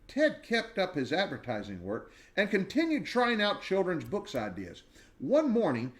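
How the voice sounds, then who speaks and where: Expressive man in his sixties reading aloud. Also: fairly high pitch. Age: 60s. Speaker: read-aloud narrator. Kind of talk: reading a children's biography aloud.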